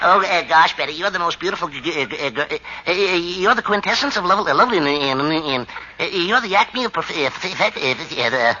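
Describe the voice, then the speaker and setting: An actor playing a goofy, bashful young man with a heavy stutter in an old radio comedy. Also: sits high. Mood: smitten. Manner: flustered, halting, tripping over words. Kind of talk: 1940s radio comedy acting. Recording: noisy, dull old radio recording.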